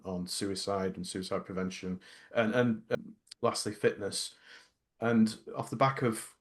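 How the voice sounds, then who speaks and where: A middle-aged man co-hosting a casual workplace podcast. He speaks hesitantly, with many repeated words and restarts. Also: deep voice. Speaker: podcast co-host.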